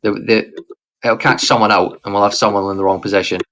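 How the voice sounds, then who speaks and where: Low-voiced man leading a raid. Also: little pitch movement, brisk, a bit bright. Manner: instructive, patient explaining. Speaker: raid leader. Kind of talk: casual gaming voice chat.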